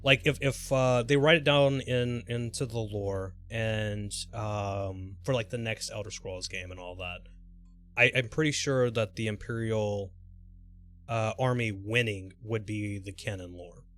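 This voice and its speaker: A man livestreaming a video game, chatting casually and thinking aloud in a halting, mildly sweary way. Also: on the low side, fairly even intonation, a bit bright. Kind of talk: casual gameplay commentary and rambling chat. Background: music.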